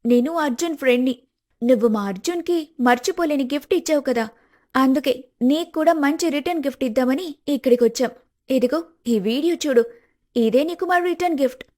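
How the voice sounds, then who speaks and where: A woman playing an assertive, high-voiced young friend. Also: a little fast, a little mellow, lightly acted. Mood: confident. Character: confident young woman, loyal friend in love. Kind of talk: animated moral-story dialogue.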